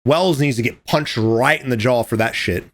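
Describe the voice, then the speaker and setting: A man casually commenting over game footage, animated and profane, talking straight to his viewers, his voice going a little hoarse. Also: mid-range voice, normal speed. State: losing his voice. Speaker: gaming commentator.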